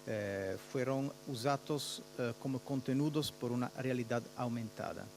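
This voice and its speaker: An Italian man presenting research at a conference in a second language. He speaks haltingly, often searching for words, and slips Portuguese words in. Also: medium pitch, darkish tone, slight background noise. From Italy.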